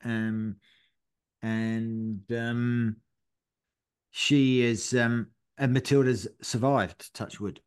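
A man's voice: mid-range voice, little pitch movement, drawn-out words, clean tone. A middle-aged man co-hosting a podcast, telling a long story in casual chat and swearing freely. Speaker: podcast co-host.

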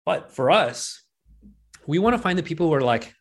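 A man's voice: on the high side, moderate pace. A man in his late thirties from Penang, a guest investor talking casually and loosely, with lots of likes and rights. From Penang, Malaysia. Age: 30s. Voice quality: relaxed, filler-heavy talk with many likes and rights. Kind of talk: casual interview chat.

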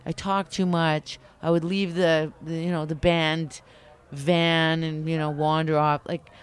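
A middle-aged woman from Canada, a guest telling stories in a lively, chatty way that wanders into digressions. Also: deep voice, measured pace, smooth. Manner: animated, chatty, digressive storyteller. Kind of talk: casual interview chat.

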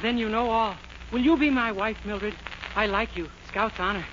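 An actor playing an earnest, gallant vaudeville star in a 1940s radio comedy, speaking in florid, formal melodrama. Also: male voice, high-pitched, normal speed, very noisy, lightly acted. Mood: courtly, proposing. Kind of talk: 1940s radio sitcom acting. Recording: dull vintage 1940s radio broadcast.

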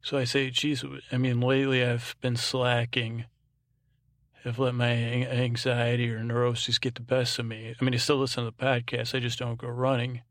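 A man hosting a bedtime podcast, talking in a meandering, halting monologue, often repeating himself and trailing off mid-word. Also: medium pitch, monotone, fairly bright tone, dry recording, clean studio sound. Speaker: podcast host. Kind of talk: rambling bedtime monologue to help listeners sleep.

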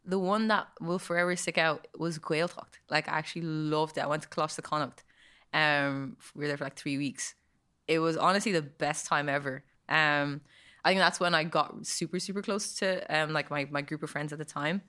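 A young Irish woman with a low voice and a bright, forceful edge. She talks in a chatty, casual way, with plenty of fillers and easy laughter. She is a guest in a relaxed interview. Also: moderate pace, smooth. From Ireland. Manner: chatty, lots of likes and ums, laughs easily.

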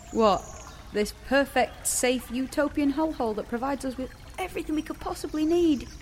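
A woman's voice: sits high, normal speed, darkish tone, a little hiss or hum, natural voice. An actress playing a guarded, sarcastic teenage girl in a naturalistic dystopian audio drama. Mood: sarcastic, reluctant.

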